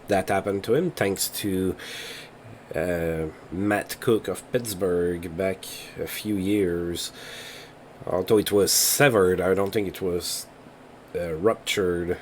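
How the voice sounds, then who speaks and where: A man's voice: sits low, a little hiss or hum. A man, not a native English speaker, hosting a let's play and talking casually through game results as he thinks aloud. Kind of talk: casual gameplay commentary.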